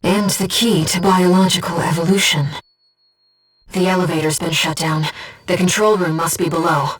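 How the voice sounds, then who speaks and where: An actress playing a gentle, devoted female voice in a pilot's head, a composed and precise mission guide in a video game. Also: lowish voice, steady tone, normal speed, husky, distant mic, low-quality recording. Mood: composed, focused. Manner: composed, precise, earnest delivery. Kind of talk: video game voice acting, tactical briefing.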